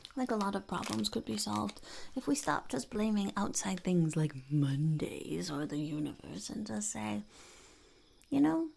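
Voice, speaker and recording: A woman voicing a blunt, mischievous female goblin in a whispered ASMR roleplay, deadpan and cynical, with a creaky, breathy voice close to the mic. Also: mid-range voice, measured pace, slight background noise. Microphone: close ASMR microphone.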